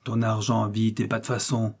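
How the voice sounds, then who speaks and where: A man voicing a brutal bandit chief for a storybook, rough and threatening, barking orders. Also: medium pitch, measured pace, dark tone. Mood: menacing. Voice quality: rough, gruff.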